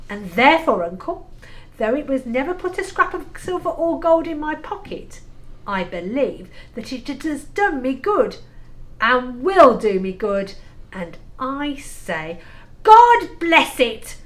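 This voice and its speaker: A woman's voice: high voice, very animated, slow, full character voice. A woman playing a cheerful, kind-hearted young nephew in an audio play, earnest and good-humoured. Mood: earnest, warm. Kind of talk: amateur audio play acting.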